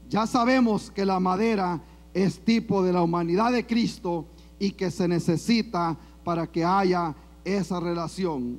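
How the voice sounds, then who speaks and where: A man with a Salvadoran accent preaching a sermon in church, punctuating his points with frequent amens and calls to the congregation. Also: sits high. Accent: Salvadoran Spanish. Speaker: pastor.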